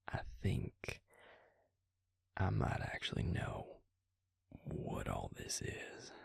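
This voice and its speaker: Man playing a hushed, gravelly Southern vampire confidant. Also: no echo, clean studio sound, heavily acted. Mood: grave, thoughtful. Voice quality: breathy, husky, gravelly low voice. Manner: hushed, halting, thoughtful, intimate delivery. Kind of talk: intimate audio roleplay addressed to the listener. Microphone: close mic, dry sound.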